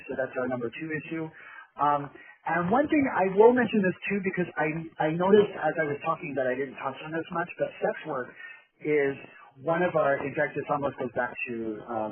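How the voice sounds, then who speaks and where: A man giving an informal, rambling presentation over a conference call, often checking in with tag questions. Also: fairly high pitch. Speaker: advocacy group chair giving a presentation. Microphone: telephone conference call. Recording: narrow tinny phone line, chatter behind.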